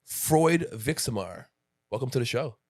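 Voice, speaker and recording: A man's voice: medium pitch, lively intonation, unhurried, a little mellow. A man in his late thirties hosting a podcast, chatting casually and rambling with restarts and asides. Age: 30s. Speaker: podcast host. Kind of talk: casual interview chat.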